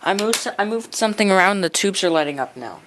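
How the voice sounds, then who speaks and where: A man giving casual, halting repair commentary with muttered asides, as a hobbyist working at a bench. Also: high-pitched, animated, a bit bright. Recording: clearly noisy workshop recording with clicks.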